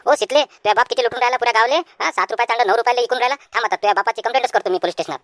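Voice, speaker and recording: A quick, high-pitched woman's take on a cartoon village schoolboy with a Vidarbha Marathi accent. He is brazen and argumentative, always talking back, in a dry recording. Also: no room sound, natural voice. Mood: indignant. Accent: Marathi, Vidarbha region. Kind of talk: comic cartoon dialogue.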